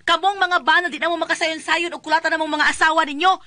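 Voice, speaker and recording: A woman's voice: very high-pitched, fairly even intonation, fast, sharp tone, no room sound, studio quality. A woman playing a combative, scolding wife who threatens and confronts, in a comic radio drama with a Cebuano accent. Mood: defiant, threatening.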